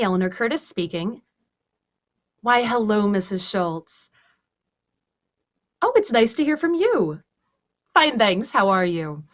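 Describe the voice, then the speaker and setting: A woman plays a courteous bank secretary chatting on the phone. Her pitch moves in a very lively way at a medium height, and the online recording is a little dull. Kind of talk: musical theatre table read.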